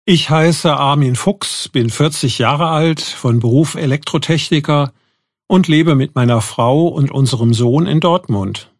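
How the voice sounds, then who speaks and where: An actor in his forties playing an electrical engineer guest, speaking in a calm, measured way. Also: a little high, no echo, studio quality. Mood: confident. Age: 40s. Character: male electrical engineer of forty, optimistic guest. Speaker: studio guest. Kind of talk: scripted exam dialogue.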